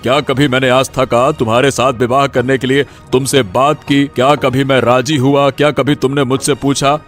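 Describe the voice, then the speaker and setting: A man's voice: mid-range voice, little pitch movement, normal speed, faint music behind, natural voice. A man playing a principled old village father in a story, speaking earnestly and emphatically. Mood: indignant, defiant. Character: worried, principled old village father, indignant when threatened. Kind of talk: Hindi audio story dramatic acting.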